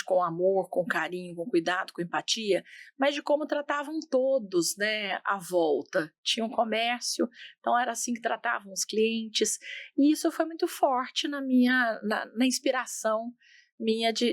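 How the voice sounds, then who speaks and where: A woman's voice: medium pitch, no room sound, clean studio sound. Earnest woman with a Minas Gerais accent. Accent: Minas Gerais Portuguese. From Minas Gerais, Brazil. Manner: earnest, repeats and restarts words. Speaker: guest, healthcare executive. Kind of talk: reflective interview conversation.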